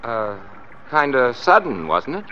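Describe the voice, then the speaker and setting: A man's voice: mid-range voice, sing-song, measured pace, sharp tone. An actor playing a cheerful young motorcycle policeman in an old-time radio drama, with an American accent and breezy, mock-formal banter. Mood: friendly, casual. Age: young adult. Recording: dull, noisy vintage radio recording.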